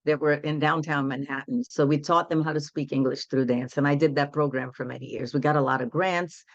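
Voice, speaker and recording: A woman's voice: sits low, steady tone, brisk, no echo, lo-fi sound. A woman in her sixties from Brazil, speaking English as a guest coach in an earnest, reflective way. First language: Portuguese. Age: 60s. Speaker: guest, women's empowerment coach. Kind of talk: casual interview storytelling.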